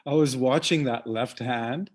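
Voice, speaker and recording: A man's voice: mid-range voice, measured pace. A man from the Congo who grew up in Uganda, co-hosting a casual live-streamed chat with many fillers and loose, trailing phrases. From Congo, raised in Uganda. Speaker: co-host, museum programmer.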